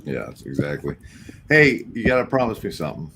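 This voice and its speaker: A man hosting a casual radio chat in a jovial, halting way. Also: sits low, very animated, fairly bright tone. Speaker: radio show host. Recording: clearly noisy background with clicks.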